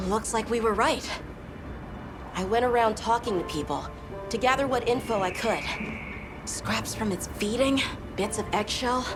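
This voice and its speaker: A woman's voice: medium pitch, natural voice. A woman voicing an earnest, compassionate young apprentice hunter boy in a video game, speaking with some hesitation. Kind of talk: video game cutscene acting. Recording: loud music behind the voice.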